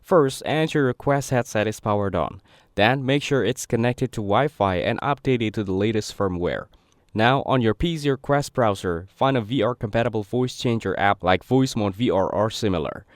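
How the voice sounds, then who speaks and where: A man's voice: on the low side, moderate pace, no echo. Man narrating a tutorial, very dry recording. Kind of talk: scripted step-by-step tutorial narration.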